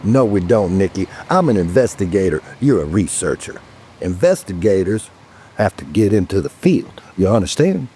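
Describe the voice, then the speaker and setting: Deep, gruff, sardonic detective. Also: male voice, big pitch swings, moderate pace, dark tone, slight background noise. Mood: stern. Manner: curt, sardonic. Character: gruff world-weary male police detective, sardonic. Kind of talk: audio drama acting.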